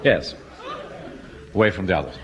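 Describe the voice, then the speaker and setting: An actor playing a pompous, officious middle-aged English Home Guard captain in a broadcast sitcom. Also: male voice, sits low, lively intonation, normal speed, over a noisy crowd. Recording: noisy old radio comedy recording.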